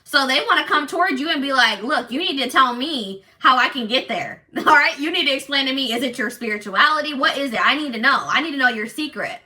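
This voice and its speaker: Bright, forceful woman reading tarot cards, loud and assertive, keeps restarting her sentences. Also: high-pitched, fairly quick, few pauses. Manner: animated, many restarts and fillers. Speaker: tarot reader. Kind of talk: casual tarot reading to viewers.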